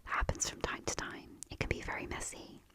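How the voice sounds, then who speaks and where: A woman's voice: a little fast, lightly acted. A woman whispering as a horned monster gatekeeper. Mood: joking. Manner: whispered, mock-polite menace. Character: grinning horned male monster park worker.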